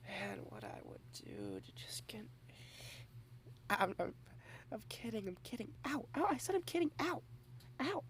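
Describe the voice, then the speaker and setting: Slow, gravelly, shy soft-spoken woman. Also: a little high, wildly swooping pitch, a little hiss or hum. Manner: shy, rambling, soft-spoken with whispered asides. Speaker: ASMR roleplay creator. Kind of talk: ASMR girlfriend roleplay.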